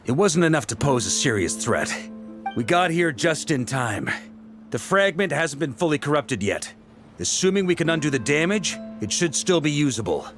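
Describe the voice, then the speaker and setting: A composed, scholarly young knight from a fantasy game. He explains things patiently, and his pitch rises and falls a lot. Game music plays clearly behind him. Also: normal speed. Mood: explaining. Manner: calm, articulate explainer.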